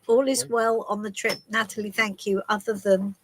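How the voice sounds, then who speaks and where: A woman's voice: medium pitch, fairly even intonation, moderate pace, a little hiss or hum, low-quality recording. A woman with a head cold giving chatty, rambling tarot readings on a live stream while travelling. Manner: chatty and rambling, with many ums and restarts. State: has a head cold, stuffy and coughing. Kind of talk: casual live-stream chat and card reading.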